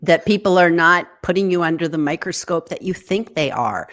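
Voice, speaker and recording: A woman guest on a live video interview, giving encouraging tips in an animated way and leaping up in pitch for quoted thoughts. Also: normal speed. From United States. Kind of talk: online interview. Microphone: video call.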